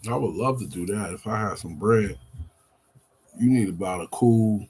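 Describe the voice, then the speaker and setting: A man's voice: fairly low pitch, normal speed, darkish tone, husky. A man about fifty, rambling on a live stream, weary and venting with plenty of swearing. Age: 50s. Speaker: livestreamer.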